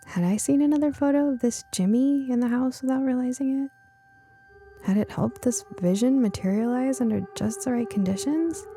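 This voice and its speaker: A woman narrating a first-person audiobook story in an expressive, suspenseful storytelling manner. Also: sits high, normal speed, soft background music.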